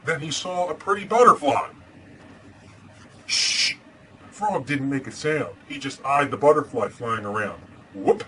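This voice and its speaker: A man playing Batman as an earnest, deadpan superhero host who chats casually and reads storybooks aloud, with some hesitation. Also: mid-range voice, measured pace, pauses often, a little hiss or hum, low-quality recording. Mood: storytelling.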